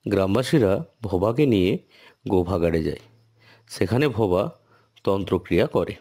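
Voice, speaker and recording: A man's voice: sits low, animated, moderate pace, warm tone. A man narrating a scripted cartoon story as its storyteller, with noise behind his voice.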